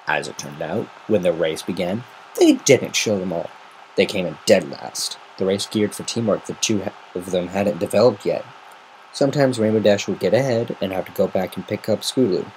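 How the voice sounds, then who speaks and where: Lowish-voiced man reading a story. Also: normal speed, a little mellow, slight background noise, low-quality recording. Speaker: amateur fan fiction reader. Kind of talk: semi-dramatic story narration.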